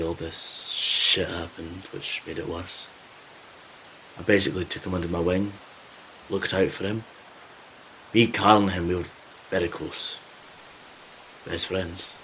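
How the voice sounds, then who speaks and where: Male actor as gruff survivor man, deep voice, informal, hesitant, audio drama, hissy background. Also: a bit bright, rough recording. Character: Roach, gruff loyal male survivor of a zombie apocalypse.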